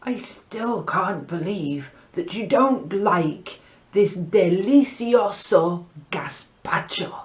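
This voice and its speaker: A woman's voice: fairly low pitch, expressive, drawn-out words. A woman voicing an old Spanish grandfather in a storybook, teasing with mock disbelief.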